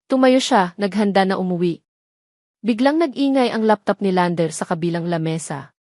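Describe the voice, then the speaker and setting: A woman's voice: mid-range voice, lively intonation, normal speed, darkish tone, dry recording, studio quality. A woman reading a scripted story as the narrator of an audio drama.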